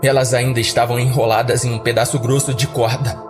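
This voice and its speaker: Guy narrating a scary story podcast, dramatic, normal pitch, music behind him. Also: level tone, a little fast.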